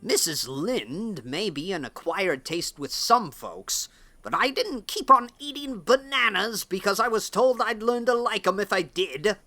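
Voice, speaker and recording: A man acts out an outspoken older farmer in a storybook tale. He grumbles in a folksy, blunt way, with animated swings of pitch and a sharp edge. Soft music plays underneath. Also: unhurried, full character voice. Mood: blunt, indignant. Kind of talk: audiobook character acting.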